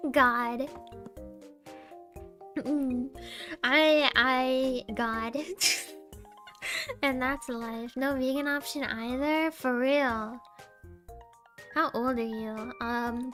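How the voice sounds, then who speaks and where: A young woman with a small, sweet voice, chatting casually on a live stream, rambling and giggling between deadpan jokes. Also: sits high.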